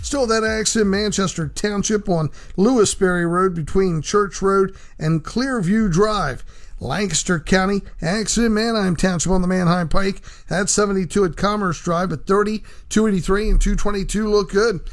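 A man giving a brisk, matter-of-fact radio traffic report. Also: sits high.

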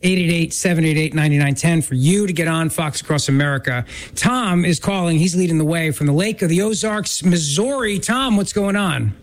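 Male talk radio host with a stuffed-up nose, chatty and self-mocking, voice on the higher side. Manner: chatty, self-deprecating, quick asides. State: stuffy from a sinus infection.

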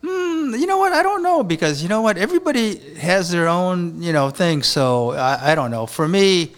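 Rambling chef from Japan. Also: male voice, high-pitched, sing-song. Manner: rambling, halting, many restarts. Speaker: chef and restaurant owner. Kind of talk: casual interview talk. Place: hotel on a beach in Honolulu.

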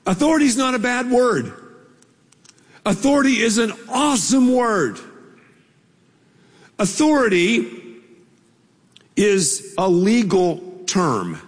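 A man's voice: high voice, slightly rough. A man preaching a sermon as pastor, telling a story in a conversational, playful way with asides to the congregation. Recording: long reverb of a large hall.

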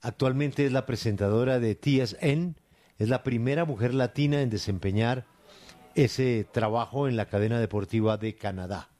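A Colombian man hosts live news radio in a medium-pitched voice. He puts questions to guests and hands over to colleagues on air. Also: moderate pace, darkish tone. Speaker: radio news host and interviewer.

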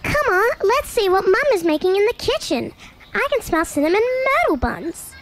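A woman voicing a shy, tearful little boy koala in a storybook reading, squeaky and slow. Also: big pitch swings, exaggerated voice. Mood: pleading, eager.

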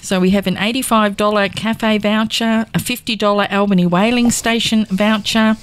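A woman's voice: medium pitch, fairly even intonation, moderate pace, clear, resonant tone. A woman with a bubbly, upbeat manner, chatting on community radio and listing raffle prizes with little ums. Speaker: community radio volunteer and presenter. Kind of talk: casual on-air chat.

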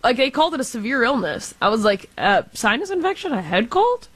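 A woman's voice: a little high, big pitch swings, brisk, fairly bright tone, slightly rough, no room sound. Chatty, earnest woman co-host. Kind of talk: casual talk radio chat.

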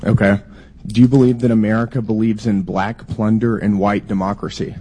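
Hoarse man grilling a witness. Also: lowish voice, little pitch movement, fairly quick, warm tone. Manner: pointed, stumbles and restarts. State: hoarse from a cold. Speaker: lawmaker questioning a witness. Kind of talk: hearing testimony questioning.

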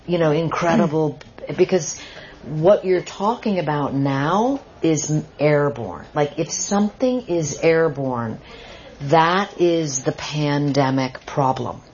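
A woman author fields questions at a live book talk. Her voice sits low, and she explains each point emphatically, often restarting her sentences. The recording is dull and lo-fi, with a faint hiss underneath. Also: animated, takes it slowly, darkish tone. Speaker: author answering audience questions.

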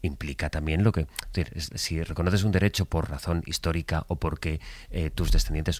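A man's voice: low-pitched, dry recording. A man hosting a radio panel, moderating and passing the word to guests. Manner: brisk, moderating. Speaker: radio host. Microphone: studio microphone.

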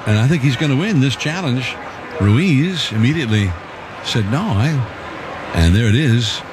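A male play-by-play announcer calling a baseball game in a conversational, measured way. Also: background noise. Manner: conversational, measured, calls pitches with rising excitement. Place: stadium broadcast booth.

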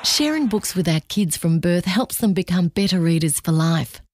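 A woman's voice: on the low side, expressive, moderate pace, noisy background. Woman reading a reading ad. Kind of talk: scripted public service ad.

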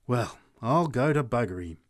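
A man's voice: mid-range voice, expressive, moderate pace, no echo, studio quality, voice pushed up, natural voice. A man voicing an irreverent, argumentative drunk who heckles, in a story reading. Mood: flippant. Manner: flippant, argumentative.

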